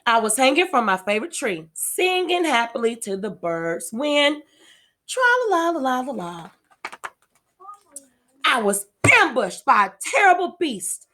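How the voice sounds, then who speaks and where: Woman playing a little storybook beast, furry, indignant, cunning, melodramatic, animated pitch, slow pace. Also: exaggerated voice. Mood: gleeful. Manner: melodramatic, put-upon. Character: small furry indignant tree-dwelling beast, kidnapped and cunning. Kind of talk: children's storybook read-aloud.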